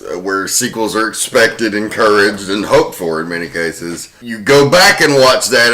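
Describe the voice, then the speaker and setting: A man's voice: on the low side, sharp tone, poor audio. A man co-hosting a film podcast, presenting behind-the-scenes commentary to friends. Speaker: podcast co-host. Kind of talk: prepared film trivia commentary among friends.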